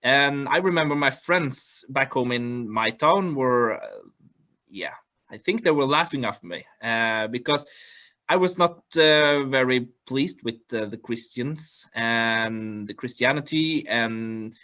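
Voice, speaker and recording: A Norwegian man with a bright, forward voice answers interview questions over a video call. He speaks slowly and haltingly, pausing on fillers to search for words. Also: mid-range voice, expressive. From Norway. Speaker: guest.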